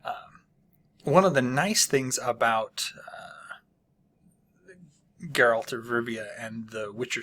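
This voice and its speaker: Host of a small book channel: unhurried, hesitant man who fills gaps with ums and keeps clearing his throat. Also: mid-range voice, sharp tone, slight background noise. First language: not Polish. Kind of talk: casual spoken book review.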